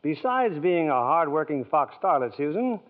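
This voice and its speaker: A man's voice: fairly high pitch, sing-song, moderate pace. A man hosting a 1940s radio show, with scripted announcing and interviewing. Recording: tinny old radio broadcast.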